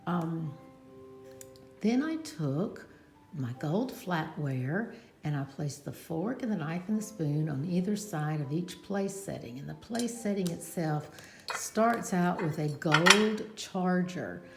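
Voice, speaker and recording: A woman with a low voice talks slowly and carefully, pausing on fillers as she describes her home room by room. Her voice rings in an echoey room, with music playing clearly behind her. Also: lively intonation, fairly bright tone. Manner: slow, descriptive, with frequent fillers. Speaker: home decor vlogger. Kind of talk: casual home tour narration. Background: music playing from a smart speaker.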